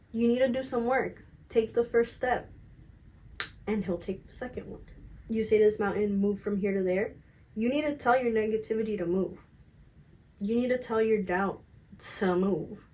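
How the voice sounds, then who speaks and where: A woman in her twenties chatting casually and candidly to camera as a vlogger, emphatic and slangy, with a slightly raspy voice. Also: medium pitch, darkish tone, a little hiss or hum, poor audio. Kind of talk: casual confessional chat to camera.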